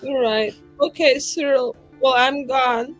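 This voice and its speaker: A woman's voice: high-pitched, takes it slowly. A woman playing a tabletop game, improvising casually with a flippant, informal way of talking. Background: soft background music.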